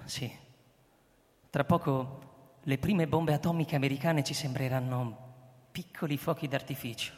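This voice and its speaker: An actor playing a reflective scientist recounting a secret mission, in measured, literary radio drama narration. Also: male voice, medium pitch, steady tone, close to an everyday voice. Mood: uneasy, ashamed. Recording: long reverb, music behind the voice.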